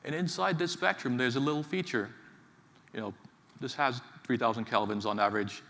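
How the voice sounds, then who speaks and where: A man from Finland giving a technical conference talk in a large hall, speaking haltingly with many fillers and repeated words. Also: mid-range voice, sharp tone. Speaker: conference speaker, associate professor. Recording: reverberant hall, faint ambience.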